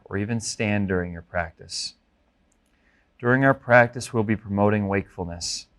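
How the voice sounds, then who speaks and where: A man with a fairly low voice leads a guided meditation as an instructor. His delivery is gentle and measured, giving clear instructions in a careful, deliberate way. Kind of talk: guided body scan meditation.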